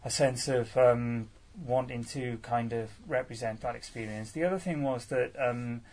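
A man's voice: mid-range voice, moderate pace, darkish tone. Hesitant Welsh-born poet man. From Wales. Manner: hesitant, many fillers and restarts, self-deprecating. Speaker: featured poet answering audience questions. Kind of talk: casual live Q&A at a poetry salon.

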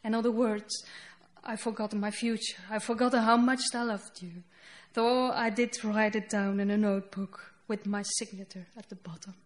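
A woman whose first language is Dutch, speaking English with a Dutch accent as she reads her poetry slowly and deliberately on a festival stage. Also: medium pitch, fairly even intonation. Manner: slow, deliberate reading with halting English asides. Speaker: poet. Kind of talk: poetry reading. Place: festival stage before an audience.

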